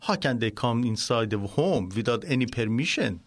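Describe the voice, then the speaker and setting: A man from Iran with a Persian accent, a guest in a radio studio interview, speaking in halting, simple phrases. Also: medium pitch, animated.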